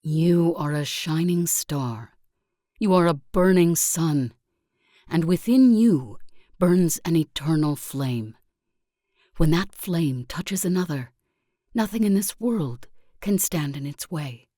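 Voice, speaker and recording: Slow, low-voiced serene guru. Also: female voice, expressive, dark tone, no echo, clean studio sound, exaggerated voice. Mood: fervent, uplifting. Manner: measured, solemn, preacherly. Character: serene female spiritual self-improvement guru, earnest and uplifting. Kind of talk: scripted game voice lines, motivational speech.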